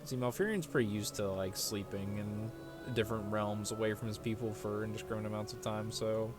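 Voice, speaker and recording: A man's voice: fairly low pitch, fairly even intonation, brisk. A man giving casual playthrough commentary as a gaming video creator, informal, rambling and sweary. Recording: game music behind voice.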